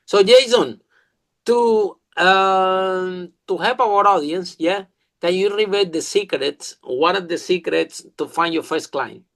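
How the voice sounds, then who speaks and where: A man with a Spanish accent, Spanish as his first language, hosting a podcast interview in an enthusiastic, halting way with many fillers. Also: high-pitched, a bit bright. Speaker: podcast host. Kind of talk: casual interview chat.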